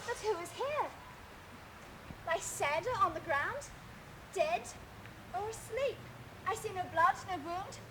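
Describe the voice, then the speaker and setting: A woman's voice: very high voice, animated, takes it slowly, pauses often, background noise, exaggerated voice. A woman playing a spurned young woman, pleading in tearful Elizabethan verse.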